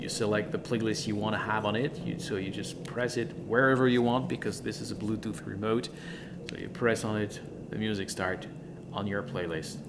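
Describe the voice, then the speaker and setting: A man who is a gives a casual product review in an enthusiastic, emphatic, halting way, often repeating words. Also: medium pitch, background noise.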